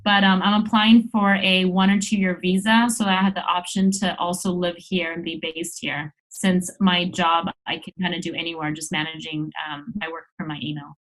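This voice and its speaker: A woman's voice: fairly low pitch, flat delivery, normal speed, hardly any pauses, fairly bright tone, rough recording. A woman in her mid-thirties from Los Angeles with an American accent, talking candidly and at length as a guest in a casual interview. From Los Angeles, United States. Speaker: interview guest, travel blogger.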